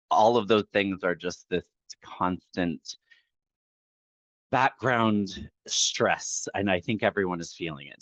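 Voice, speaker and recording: A person in their late forties, a podcast guest talking in a relaxed, candid way and laughing easily. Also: male voice, lowish voice, dry recording, dull audio. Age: 40s. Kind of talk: casual podcast interview.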